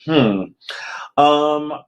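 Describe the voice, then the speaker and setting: A man's voice: on the high side, extremely slow. A man speaking as an interview guest, thoughtful and hesitant, feeling his way through casual answers. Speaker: guest playwright.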